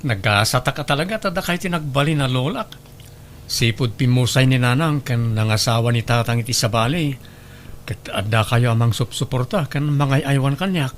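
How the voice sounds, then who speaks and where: A man's voice: medium pitch, normal speed, a little hiss or hum, lightly acted. A man playing a dutiful, grateful young student grandson in a radio drama, affectionate and earnest. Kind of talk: Ilocano radio drama acting.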